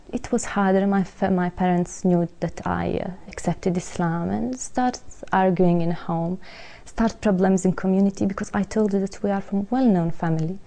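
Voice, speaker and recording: A young woman from Serbia talks through her own story in English, halting and searching for words. Her voice is warm and mellow with a gravelly fry underneath, at an ordinary middle pitch. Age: young adult. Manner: hesitant, searching for words with many fillers. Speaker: guest. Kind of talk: personal testimony.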